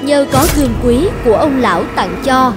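A woman's voice: high voice, animated, normal speed, over music. A woman narrating a scripted children's fairy tale.

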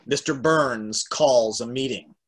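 A man's voice: medium pitch, slow, a bit bright, slightly rough. A man reading stage directions as narrator of an amateur table read on a video call from home.

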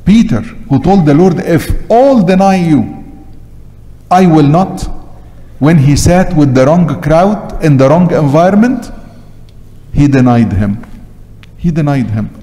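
A man's voice: on the high side, animated, slow, long pauses, mellow. An older man with an Egyptian Arabic accent, a priest giving an emphatic sermon that repeats short phrases for weight. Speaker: Coptic Orthodox priest. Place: large church interior, at the pulpit. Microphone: gooseneck pulpit microphone. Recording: long church reverb.

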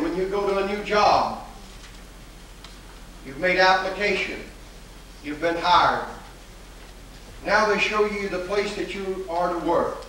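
An older pastor preaches in a folksy, emphatic way, circling back to the same phrase and slipping in filler uhs. His voice sits fairly high. It rings through a large, very echoey sanctuary, caught from a distance on hissy old videotape. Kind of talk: sermon. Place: large church sanctuary at a pulpit. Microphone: pulpit microphone, far camera. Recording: echoey church hall from far off, hissy 1980s video.

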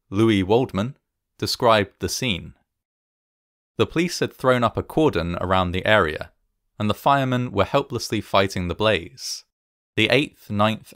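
A man with a fairly low voice narrates a documentary from a script. His delivery is measured, formal and solemn. The sound is dry and close, with little room in it. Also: fairly bright tone, no echo.

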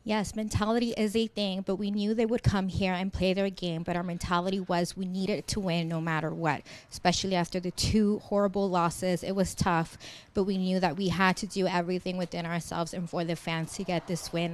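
A woman interpreting a player's answers into English at a press conference, steady and plain. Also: lowish voice, normal speed, few pauses. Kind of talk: consecutive interpretation.

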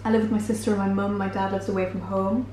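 A woman's voice: mid-range voice, little pitch movement, fast talker, mellow. British woman chatting in a roomy kitchen. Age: 20s. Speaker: vlogger answering viewer assumptions while baking. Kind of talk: casual chatty vlog to camera. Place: home kitchen, indoors. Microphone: camera mic at a short distance. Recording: roomy, distant mic, faint background noise.

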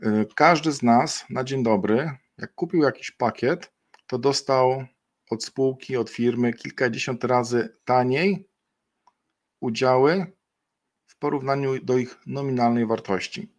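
A man's voice: mid-range voice, unhurried. A middle-aged man presenting a webinar over a webcam, explaining a program unscripted with frequent fillers and self-corrections.

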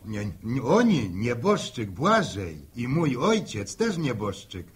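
A long-winded, middle-aged farmer in a vintage radio serial. He is husky and halting, repeats himself, and his pitch moves up and down as he insists on telling it his way. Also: huge pitch swings, heavily acted. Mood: earnest explaining. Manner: halting, rambling, repetitive. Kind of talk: 1960 radio drama acting. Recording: old 1960 radio recording.

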